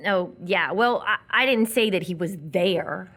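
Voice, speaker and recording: A woman's voice: medium pitch, unhurried, a bit bright. An actress playing a lonely, guarded young woman with a dry, blunt, wounded way of talking in live radio theatre. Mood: hurt, sardonic.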